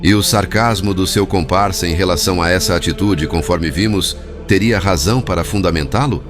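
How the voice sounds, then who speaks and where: A man reading scripted audiobook narration in a formal, measured way. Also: sits low. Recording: music bed behind narration.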